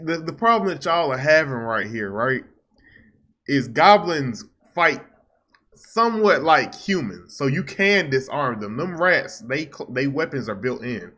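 A man's voice: mid-range voice, lively intonation, measured pace, pushed and bright. A young American man giving casual commentary as the host of a reaction video.

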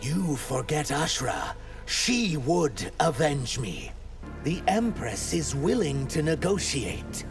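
A man voicing a loyal, defiant reptilian emissary in a video game, creaky, deliberate and challenging. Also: a little high, very animated, heavily acted. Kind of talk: video game character dialogue. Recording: music behind the voice.

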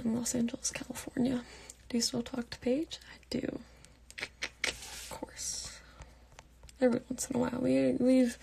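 A young woman in her late teens reading out fan comments and answering them in a soft, half-whispered voice, on a phone held at arm's length in her bedroom at night. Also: fairly high pitch, creaky, slight background noise. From Pittsburgh, United States. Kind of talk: casual live Q&A chat.

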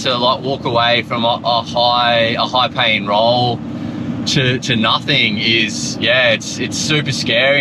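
A man, giving viewers a relaxed, rambling update full of fillers and restarts. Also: medium pitch. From Australia. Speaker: travel vlogger. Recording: loud background noise.

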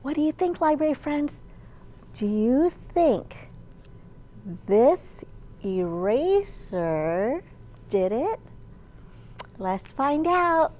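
A woman in her thirties, a children's librarian, telling a picture-book story in an animated, clearly enunciated way. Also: sits high, drawn-out words, pauses often. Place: large indoor library room.

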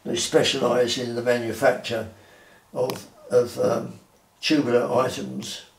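A small private museum, an old gentleman collector walking a visitor past his cabinets, unhurried, halting and courteous, his creaky voice echoing slightly off the walls. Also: mid-range voice, little pitch movement. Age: 80s or older. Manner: halting, measured, formal courteous phrasing. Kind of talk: informal guided tour commentary. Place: wood-floored indoor collection room. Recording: roomy indoor echo.

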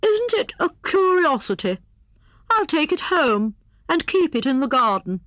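A woman voicing an excitable, pompous male hare in a children's storybook, with exclamatory, drawn-out, self-important declaiming. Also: sits high, dry recording, studio quality, pitched above the performer's own voice, full character voice. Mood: pompous wonder. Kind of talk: children's storybook character reading.

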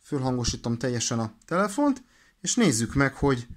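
A man explains things in an informal, halting way, thinking aloud as he presents a hands-on demonstration. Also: mid-range voice, big pitch swings. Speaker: presenter of a radio equipment demonstration. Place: indoors at a desk.

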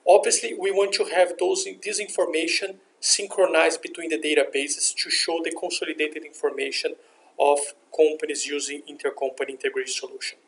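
A man narrating a software demonstration in a step-by-step, instructional way. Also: on the high side, steady tone, fairly quick, gravelly, slight background noise, low-quality recording. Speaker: software demo presenter. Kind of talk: scripted software tutorial narration. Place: screen recording voice-over.

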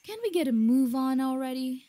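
A woman's voice: high voice, expressive, normal speed, dark tone. A woman playing an impatient, foul-mouthed, goading adventurer in an audio roleplay. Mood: bored, goading. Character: impatient, foul-mouthed adventurer who relishes others' misery.

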